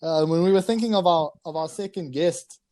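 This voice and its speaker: A man's voice: fairly high pitch, brisk, dark tone. A young man hosting a podcast, playful and laughing often, with casual hesitant phrasing. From South Africa. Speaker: podcast host.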